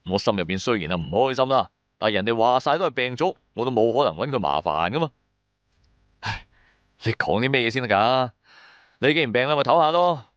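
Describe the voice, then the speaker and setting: A man's voice: medium pitch, a bit bright, dry recording, lo-fi sound, lightly acted. A man playing a shrewd, wry trader who narrates his own adventures in colloquial, sardonic radio-drama style. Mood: annoyed, teasing. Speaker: narrator and lead character.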